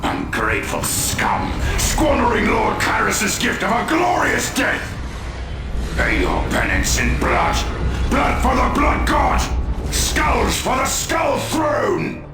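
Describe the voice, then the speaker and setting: Wrathful, gravelly dark warlord. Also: male voice, fairly low pitch, wildly swooping pitch, takes it slowly, full character voice. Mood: bloodthirsty fury. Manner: menacing, bellowing, contemptuous. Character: wrathful, bloodthirsty Chaos warlord in armour. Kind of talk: video game cutscene villain acting. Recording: echoing voice under very loud music. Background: very loud music.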